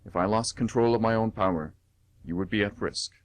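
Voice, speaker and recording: A man's voice: on the low side, a little fast, natural voice. A man voicing a solemn, resolute monk warrior in a radio play, speaking in formal, emphatic phrases. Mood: grave, regretful. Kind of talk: radio play heroic acting.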